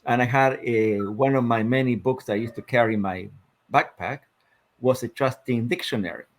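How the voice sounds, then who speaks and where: A man in his fifties from Argentina with an Argentine Spanish accent, a guest telling his life story in a reflective, measured way with many fillers. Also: mid-range voice. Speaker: guest, sports psychologist. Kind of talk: interview storytelling.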